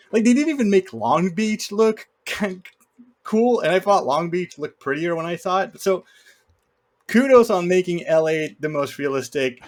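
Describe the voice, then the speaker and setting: A man chatting casually about films, with an opinionated, wry way of talking. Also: on the high side. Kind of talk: casual film discussion.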